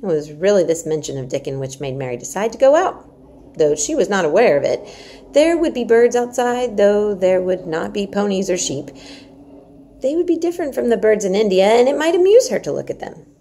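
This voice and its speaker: A woman reading a children's novel aloud as narrator, in an expressive storytelling manner. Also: medium pitch, fairly quick, a little hiss or hum. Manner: expressive, explains old words aside. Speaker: storybook reader, teacher-like narrator.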